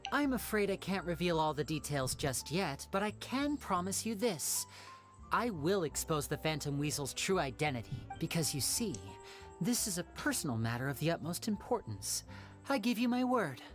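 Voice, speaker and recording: A suave young male magician in a game, smooth and theatrical, with a lively, expressive delivery. His voice sits a little low for the performer, with faint music under it. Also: moderate pace.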